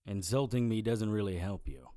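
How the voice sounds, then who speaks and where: A wry male investigator played by an actor tells his case like a noir audio drama. His voice is low and dark, recorded dry, with a deadpan delivery that stays unimpressed by the strange. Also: normal speed, dry recording, lightly acted. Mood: probing. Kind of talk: first-person detective audio drama narration.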